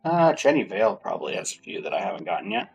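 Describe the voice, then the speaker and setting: A man's voice: sits low, sing-song, fast, vocal fry, darkish tone, dull audio. A man giving casual gameplay commentary, muttering to himself with playful, mock-formal phrasing. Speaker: game streamer. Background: game music.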